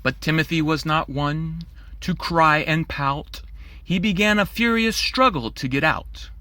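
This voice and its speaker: A man's voice: on the high side, unhurried, sharp tone, no echo. A man narrating a rhyming children's storybook in a theatrical, playful way.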